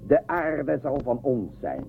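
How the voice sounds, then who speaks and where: An actor playing a bold, power-hungry space ruler in a radio drama, with a commanding, theatrical manner. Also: male voice, medium pitch, sing-song, slow, gravelly, faint music behind, full character voice. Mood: scheming, ambitious. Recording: thin phone-like radio recording, faint noise.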